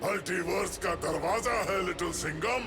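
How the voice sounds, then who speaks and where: A man playing a boastful, menacing multiverse warrior villain in a cartoon, with a gravelly voice and taunting, theatrical delivery. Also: high-pitched, loud music behind the voice, lightly acted. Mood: gloating, domineering. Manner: taunting, theatrical, drawn-out delivery with evil laughing.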